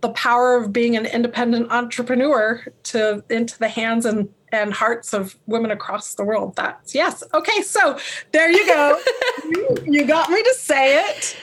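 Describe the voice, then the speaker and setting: A woman artist and color teacher being interviewed, talking loudly and passionately and laughing often. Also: on the high side, measured pace. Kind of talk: podcast interview chat.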